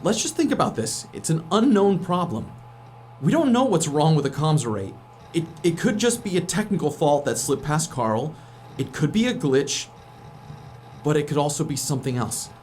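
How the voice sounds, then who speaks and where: Animated, hot-headed astronaut played by a man. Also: on the high side, moderate pace, soft background music. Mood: wary, arguing. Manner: sardonic, sweary, informal. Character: hot-headed, sceptical male astronaut technician. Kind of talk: science fiction audio drama acting.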